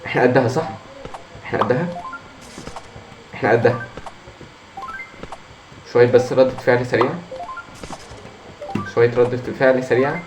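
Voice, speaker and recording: A hoarse man with an Egyptian Arabic accent, commenting on his own gameplay, muttering encouragement to himself and breaking into frustrated outbursts. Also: mid-range voice, normal speed, pauses often. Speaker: gamer. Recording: echoey room with faint noise.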